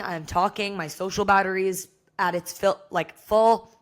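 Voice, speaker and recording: A young American woman with a hoarse voice, talking candidly and casually as the solo host of a podcast. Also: lowish voice. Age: young adult. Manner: chatty, candid, frequent "like".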